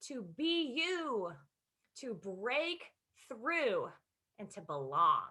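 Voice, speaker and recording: A woman's voice: a little high, big pitch swings, very slow, sharp tone. A woman co-hosting a podcast in casual banter, playful and self-deprecating, with dramatic emphasis. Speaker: podcast co-host.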